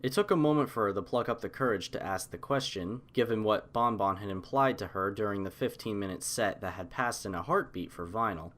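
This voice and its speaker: A man narrating a scripted fan story as an audiobook, in an expressive storytelling style. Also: fairly low pitch, brisk, hardly any pauses.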